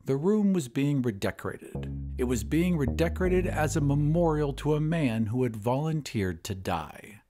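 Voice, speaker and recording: Expressive male storytelling narrator. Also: mid-range voice, moderate pace, hardly any pauses, music in the background. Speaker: podcast host and narrator.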